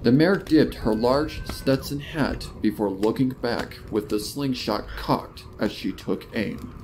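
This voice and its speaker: A man's voice: mid-range voice, slow, darkish tone, rough recording. A man reading a horror story in a dramatic, descriptive narration style. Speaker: fan fiction narrator. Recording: noticeable noise under the narration.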